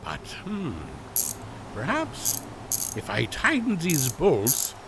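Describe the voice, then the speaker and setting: An actor playing a fond, fussy inventor with a German accent in an audio drama. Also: male voice, a little high, huge pitch swings, slow, noisy background, exaggerated voice. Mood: fond, concerned.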